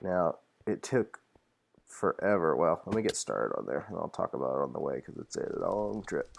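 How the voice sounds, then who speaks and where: Deep gravelly man with a cold. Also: moderate pace. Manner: relaxed, rambling, with frequent fillers and self-corrections. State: slightly congested from a cold. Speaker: channel host showing his Minecraft server builds. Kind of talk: casual gameplay walkthrough narration.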